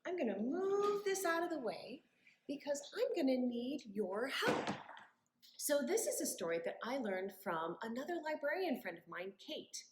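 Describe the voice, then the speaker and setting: A woman's voice: high voice, big pitch swings, normal speed, noisy background. A woman hosting a children's storytime as a librarian, leading rhymes and stories in an encouraging, sing-song way and speaking directly to the children. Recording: roomy, echoing indoor sound.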